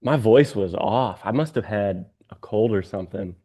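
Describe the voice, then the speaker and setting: Mellow, low-voiced man, halting and self-critical. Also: breathy edge. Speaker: radio presenter being coached. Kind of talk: casual conversation.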